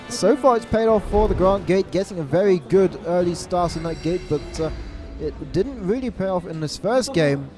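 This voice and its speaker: A man giving live play-by-play commentary on a card game match, stressing repeated words and correcting himself quickly. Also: on the high side. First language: English. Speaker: esports caster. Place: event venue abroad.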